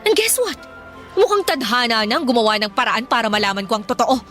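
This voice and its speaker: An actress playing a wronged, outspoken young female office worker in Filipino radio drama, with an expressive, conversational delivery and a Filipino accent. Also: fairly high pitch, a little fast. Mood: indignant. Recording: background music under the voice.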